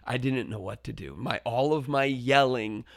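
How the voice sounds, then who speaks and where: Earnest teacher guy on his podcast, mid pitch, dry room, keeps restarting phrases. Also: moderate pace, creaky, no room sound. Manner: builds up to loud, forceful quoted shouts in stories. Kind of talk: reflective podcast monologue with storytelling.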